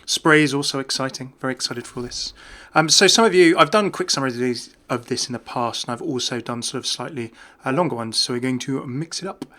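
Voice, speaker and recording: A man's voice: medium pitch, a little fast, hardly any pauses, pushed and bright. A man giving casual, off-the-cuff video game commentary in a chatty, enthusiastic way. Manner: chatty, enthusiastic about small details.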